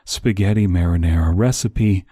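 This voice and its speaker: A man playing an earnest, hesitant host of a parody Italian-heritage podcast, speaking haltingly with many uhs. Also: deep voice, warm tone, husky, no room sound, clean studio sound. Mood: halting, deadpan.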